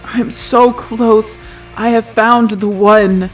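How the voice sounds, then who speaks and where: A performer playing an obsessive, secretive, wounded male genius in a hushed, intense, halting manner for a tabletop roleplay. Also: mid-range voice, fairly even intonation, soft background music.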